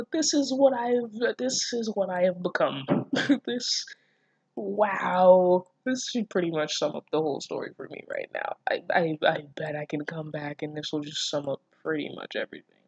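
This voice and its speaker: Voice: medium pitch, dry recording, highs cut off. Sarcastic, profane reader, very dry close sound. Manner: profane, mocking, incredulous. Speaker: fan fiction reader and commentator. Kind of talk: casual reaction reading with sarcastic asides.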